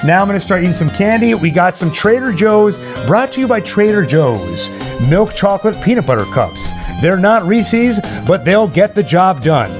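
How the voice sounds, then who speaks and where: A man with a high-pitched voice hosts a livestream in a casual, chatty style. He rambles in a joking way. Faint music plays behind him as he talks. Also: very animated, normal speed. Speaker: livestream host. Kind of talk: casual livestream chat and sign-off. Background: Halloween novelty songs.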